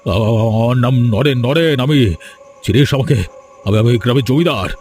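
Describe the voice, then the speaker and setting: A man's voice: mid-range voice, moderate pace, a little mellow, slight background noise, close to an everyday voice. A man voicing a proud, domineering village landlord and father in a cartoon, blustering and repeating himself. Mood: terrified.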